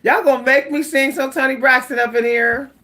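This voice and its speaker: A woman's voice: high-pitched, fairly even intonation, brisk, bright, forceful tone. A woman hosting a casual livestream, chatting with viewers, laughing often and breaking into song. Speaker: livestream host. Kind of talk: casual livestream chat with singing.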